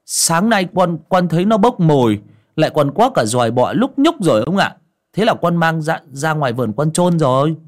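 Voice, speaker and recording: A man's voice: a little high, big pitch swings, moderate pace, voice pushed up. A man voicing a timid young servant boy who stammers and bows. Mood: fearful. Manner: meek, stammering, bowing.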